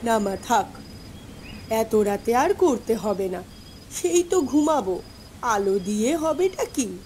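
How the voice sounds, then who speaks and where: A woman's voice: on the high side, very animated, slow, mellow, a little hiss or hum. A woman playing an eerily tender, coaxing mother in a ghost story, with a trembling, plaintive voice. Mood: soft, reassuring. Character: mother come home at night in a storm, eerily tender, coaxing. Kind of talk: dramatised Bengali ghost story acting.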